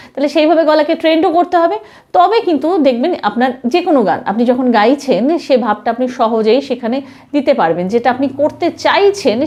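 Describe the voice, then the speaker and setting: A woman's voice: high-pitched, darkish tone, muffled recording. A woman giving an instructional singing lesson, explaining emphatically and mixing in English terms. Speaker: singing teacher. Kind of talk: instructional music lesson to camera.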